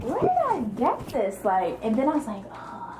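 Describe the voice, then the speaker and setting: A woman's voice: fairly high pitch, huge pitch swings, moderate pace, echoey room, heavy background noise. A woman from Haiti, an event coordinator and talk show guest, chatting in a giggly way and acting out her own thoughts. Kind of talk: casual interview chat.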